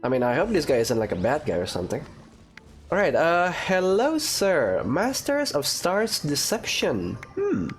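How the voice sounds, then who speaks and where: A man giving casual let's play commentary, talking haltingly as he thinks aloud and adds wry asides. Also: a little high, wildly swooping pitch. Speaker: gaming YouTuber. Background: game music.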